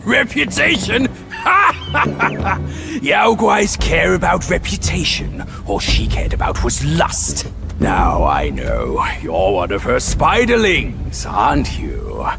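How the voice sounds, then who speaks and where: Brash pig demon, video game cutscene, very slow drawn-out delivery, wildly swinging pitch, theatrical, sly, boastful. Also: male voice, huge pitch swings, gravelly, over music, full character voice. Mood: mocking. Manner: theatrical, sly chuckling delivery with sudden outbursts. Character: boastful, lewd pig-man demon, brash Buddhist sidekick.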